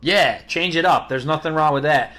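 A man's voice: on the high side, moderate pace, sharp tone. A man hosting a rock podcast, upbeat and joking, often laughing as he talks, over canned crowd noise and music. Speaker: podcast host. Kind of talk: casual interview with jokes.

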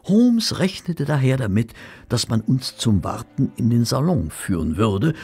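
A detective's loyal companion telling the tale in an audio drama. The voice is husky and creaky, with lively intonation and a dry, measured storytelling manner. Faint music plays behind. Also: male voice, on the low side, heavily acted. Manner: measured, dryly humorous storytelling. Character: genteel, loyal male companion narrating detective tales. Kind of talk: scripted narration in German audio drama.

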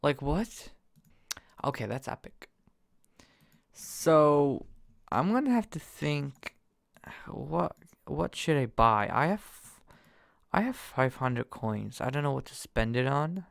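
A young man casually commenting while he plays a game on his computer, excitable and jokey, jumping from loud bursts to quiet mumbles. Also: fairly high pitch, slow pace, creaky, pauses often. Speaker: gaming YouTuber.

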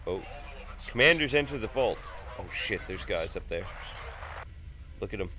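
A congested man in his thirties talks his way through a video game at his desk, his voice thick and nasal from a sinus cold. He rambles, jokes and curses casually in a lowish register. A faint hiss sits under the recording. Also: animated, normal speed, sharp tone. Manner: rambling, jokey, swears freely. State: sick with stuffy sinuses, nasal. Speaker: gaming YouTuber. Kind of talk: casual let's play commentary. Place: home room at a desk.

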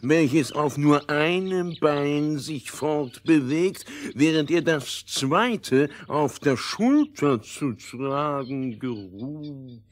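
An actor playing a pompous, touchy, long-winded companion in a children's fairy-tale audio play, speaking in stilted formal phrases. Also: male voice, fairly high pitch, very slow. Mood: pompous, pedantic.

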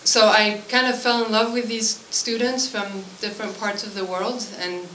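A woman's voice: medium pitch, steady tone, moderate pace, a bit bright. A woman in her forties from Switzerland, a native French speaker, informally introducing herself as a language teacher at a meeting. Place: school room at a committee meeting, indoors. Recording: echoey room, distant mic, background noise.

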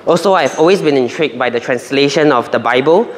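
A man's voice: medium pitch, lively intonation, quick pace. A young man, a native Chinese speaker, giving an earnest prepared testimony at a church meeting with a handheld microphone. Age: young adult. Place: church meeting room, indoors. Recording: roomy reverb, faint noise.

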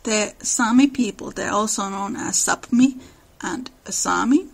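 A woman's voice: medium pitch, unhurried, gravelly. A woman with a Finnish accent, hosting a podcast and narrating in an earnest, explanatory way. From Finland. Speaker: podcast host.

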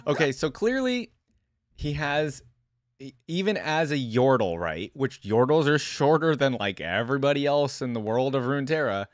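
A man's voice: mid-range voice, animated, normal speed, dry recording. A young man giving amused, informal reaction commentary into a close desk microphone indoors. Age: young adult. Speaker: reaction video host. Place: indoor streaming setup. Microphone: close desk microphone with headphones.

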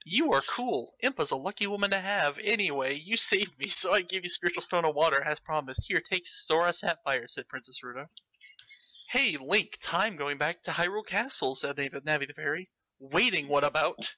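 A man's voice: fairly high pitch, moderate pace, pushed and bright, no echo, rough recording. A man reading fan fiction aloud with theatrical, mocking delivery and breaking off to comment. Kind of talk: comedic dramatic read-aloud with commentary.

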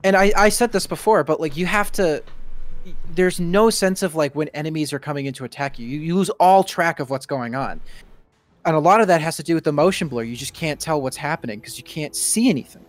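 A man's voice: on the high side, brisk. A young man co-hosting a game stream, chatting casually with an emphatic, complaining manner. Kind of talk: casual gaming chat.

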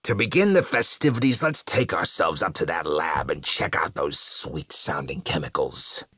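A man voicing a shapeshifting monstrous serial killer in an audiobook, a loud, mocking, theatrical showman full of gleeful menace. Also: very deep voice, moderate pace, breathy edge, dry recording, clean studio sound, heavily acted. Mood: rabble-rousing.

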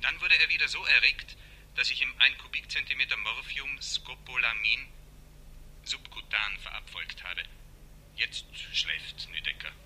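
A man's voice: medium pitch, a little slow, bright, forceful tone, slightly rough, full character voice, rough recording. An actor in a 1960s radio crime drama playing a dutiful male psychiatric nurse, reporting precisely over a telephone. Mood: clinical, reporting.

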